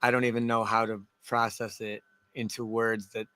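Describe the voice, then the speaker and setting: A man with a loud voice, a DJ guest in a radio studio, telling stories with laughs and emotion. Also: fairly low pitch, little pitch movement, moderate pace, clear, resonant tone. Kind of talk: casual radio interview.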